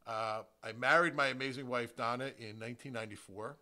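A middle-aged American man from New Jersey hosting a podcast, giving a prepared solo introduction with emphatic, deliberate phrasing. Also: medium pitch, fairly even intonation, bright, forceful tone. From New Jersey, United States. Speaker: podcast host, real estate agent.

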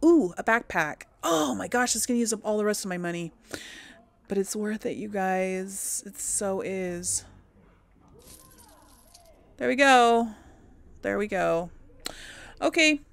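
A woman's voice: mid-range voice, lively intonation, slow, gravelly, pauses often. A woman hosting a game playthrough, chatting casually to viewers and musing aloud to herself. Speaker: host of a gaming playthrough series. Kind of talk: casual let's play commentary, chatting to viewers.

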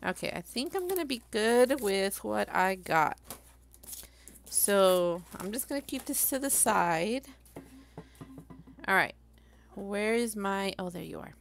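Woman, Puerto Rican accent, gravelly fry, rambling craft livestream chatter, home work table. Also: medium pitch, expressive, slow, pauses often, slight background noise. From Puerto Rico. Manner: chatty, rambling, laughs at herself. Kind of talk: casual chat while crafting. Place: craft table at home, overhead camera on her hands.

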